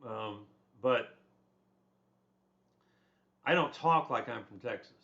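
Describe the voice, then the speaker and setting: An older man lectures in a loose, conversational way, drifting into asides and restarting his sentences as he goes. His voice sits at an ordinary pitch for a man, and the recording sounds a little dull and muffled. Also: slow pace, gravelly, fairly bright tone. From born in Germany, raised in the United States. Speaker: instructor. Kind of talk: casual lecture on a textbook chapter.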